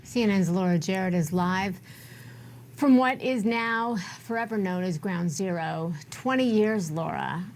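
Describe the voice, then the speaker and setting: A woman's voice: medium pitch, measured pace, a little mellow. A woman anchoring a broadcast news programme.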